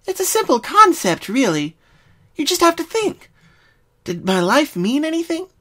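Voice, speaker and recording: Male reader as murderous cartoon mare: high, loud, theatrical, sweetly menacing lecturer. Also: big pitch swings, moderate pace, exaggerated voice. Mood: menacing lecture. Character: deranged, murderous female pony, sweetly menacing and philosophical.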